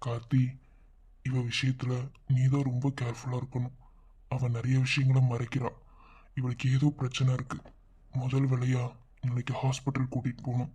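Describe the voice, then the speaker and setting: A man's voice: medium pitch, completely flat delivery, moderate pace, pauses often, warm tone, slightly rough, close to an everyday voice. A man voicing an anxious, caring young husband who questions and soothes his wife. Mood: brooding. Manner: anxious, coaxing. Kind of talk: audio drama acting.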